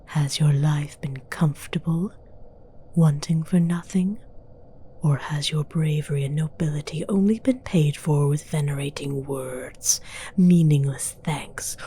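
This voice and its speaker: A woman voicing a haughty, seductive female demon villain in a whispered, breathy ASMR fantasy roleplay, speaking formally and with condescension. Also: on the low side, mellow, a little hiss or hum. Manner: slow, deliberate, condescending, formal.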